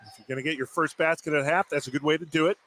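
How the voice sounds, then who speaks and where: A man's voice: on the high side, steady tone, very fast, fairly bright tone, slight background noise. A man working as a color commentator on a basketball broadcast, reacting agreeably and analyzing plays conversationally.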